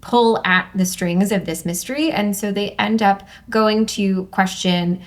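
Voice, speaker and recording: Woman hosting, clear conversational delivery. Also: medium pitch, a little slow. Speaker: host and script reader. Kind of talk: upbeat hosted discussion and stage-direction reading.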